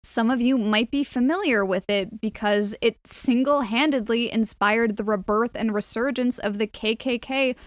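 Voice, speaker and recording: A woman's voice: a little high, moderate pace, few pauses, no room sound, studio quality. A woman delivering scripted opinion commentary in a video essay.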